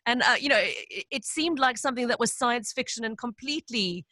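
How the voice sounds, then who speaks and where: A woman's voice: fairly high pitch, animated, brisk, sharp tone, dry recording. A woman hosting a live radio interview, asking questions and reading out listener messages in a friendly way. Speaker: radio host.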